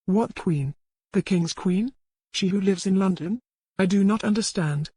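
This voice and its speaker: A woman's voice: medium pitch, unhurried, mellow, husky, no room sound. Woman playing a world-weary old servant woman. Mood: puzzled. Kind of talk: dramatic audiobook character reading.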